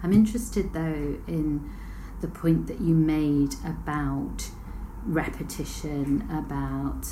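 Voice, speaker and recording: A woman's voice: deep voice, steady tone, takes it slowly, few pauses, dark tone, poor audio. A woman interviewing a researcher, asking questions in an attentive, affirming way. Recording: audible background noise.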